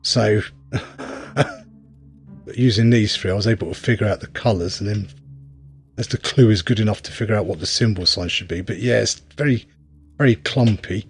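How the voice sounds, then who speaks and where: A man's voice: lowish voice, moderate pace, soft background music. A man giving casual gameplay commentary, rambling wryly as he thinks aloud while playing. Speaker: game reviewer and player.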